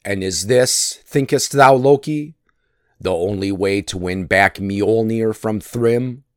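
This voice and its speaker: A man voicing a mighty, proud thunder god from a myth, rough and blustering in manner. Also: fairly low pitch, slow pace, no echo, clean studio sound. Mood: unhappy. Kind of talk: dramatic myth storytelling.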